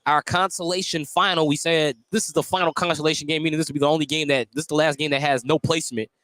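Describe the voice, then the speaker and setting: A man's voice: fairly high pitch, steady tone, fast, fairly bright tone, dry recording. A man doing live play-by-play basketball commentary for radio, conversational and given to joking asides between calls. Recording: clear background noise, live gym broadcast.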